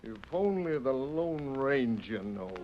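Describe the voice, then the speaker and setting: An actor playing an earnest, indignant cowboy prisoner in a Western radio drama, speaking plain, emphatic frontier dialect. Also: male voice, medium pitch, big pitch swings, takes it slowly. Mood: earnest pleading. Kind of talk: 1930s-40s Western radio acting. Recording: muffled old radio recording.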